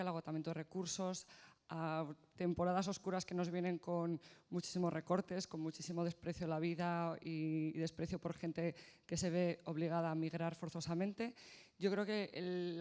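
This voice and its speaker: A man making thoughtful, steady remarks from the floor of an assembly. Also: high-pitched, clear, resonant tone. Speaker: assembly participant.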